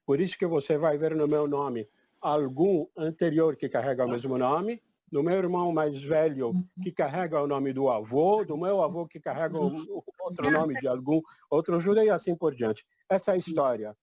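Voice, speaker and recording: A man's voice: a little high, normal speed, mellow, slight background noise. An older man born in Egypt, giving an emphatic, declarative personal testimony at a public talk. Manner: emphatic, declarative, repeats "definitivamente". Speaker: event participant.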